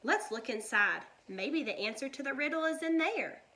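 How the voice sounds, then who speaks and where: A woman voicing a bold, excitable young girl in a storybook, exclaiming and urging. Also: sits high, normal speed, voice pushed up. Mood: alert.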